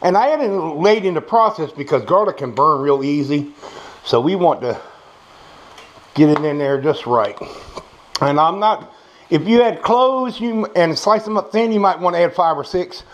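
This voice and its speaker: A man's voice: a little high, lively intonation, moderate pace. A man with toothless speech giving a casual, folksy cooking demonstration as a home cook. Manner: folksy, savoring murmurs. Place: small home kitchen, indoors. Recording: noisy kitchen with frying sizzle. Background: frying food, clicks.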